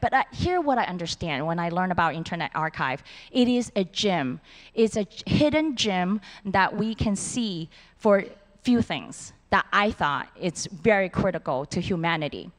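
A woman's voice: on the low side, fairly bright tone. Earnest woman, hall reverb. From Hong Kong and Taiwan. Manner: earnest, simple phrasing. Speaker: city supervisor. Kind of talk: award acceptance speech. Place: large auditorium stage. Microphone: handheld mic.

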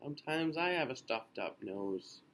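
A man in his thirties reading a children's picture book aloud in an expressive, sing-song way with drawn-out phrases. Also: medium pitch, slight background noise. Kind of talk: children's storybook read-aloud. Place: small indoor room.

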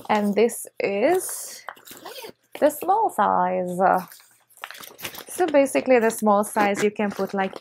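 A woman in her thirties from Hungary with a Hungarian accent, chatting excitedly through a casual unboxing vlog on a lapel mic in her living room. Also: medium pitch, gravelly, slight background noise. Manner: chatty, excitable, with short laughs. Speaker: vlogger. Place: living room on a leather couch, indoors.

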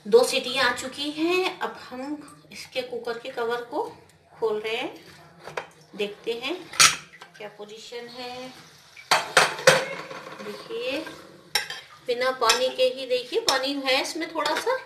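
A woman with a high voice talks a home cooking demonstration through step by step, chatty and unhurried with small hesitations. She is at the stove in a roomy kitchen. Loud frying, sizzling and clanking spoons run under her voice. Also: very noisy. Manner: chatty, explains each step while cooking, frequent hesitations. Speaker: home cooking channel host. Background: frying, sizzling and stirring in a pressure cooker.

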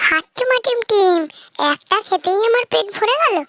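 A performer voicing a mischievous, always hungry trickster fox with a childlike, squeaky, singsong delivery in a children's fairy-tale cartoon. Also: normal speed, background noise. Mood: eager, amazed. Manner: singsong, repeats a nonsense rhyming catchphrase.

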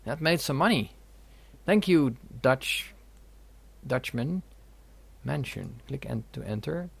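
A man's voice: mid-range voice, lively intonation, takes it slowly, creaky, husky, no echo. A young man giving casual let's play commentary, reading game dialogue aloud with jokey asides. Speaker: gaming video host.

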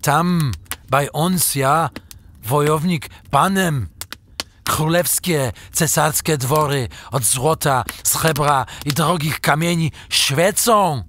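Very slow, scheming German trader. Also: male voice, fairly high pitch, a bit bright, a little hiss or hum. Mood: boastful, grand. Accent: German, put on. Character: scheming German trader tempting a Slav into treason. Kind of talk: audio drama acting.

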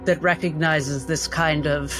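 A woman playing a tabletop roleplaying game, describing and acting her character in measured, theatrical phrasing. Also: low-pitched, steady tone. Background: background music.

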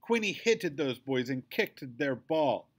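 A man's voice: mid-range voice, very animated, slow, voice pushed up. Man putting on a squawking four-year-old girl. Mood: tattling. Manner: squawking, childish grammar.

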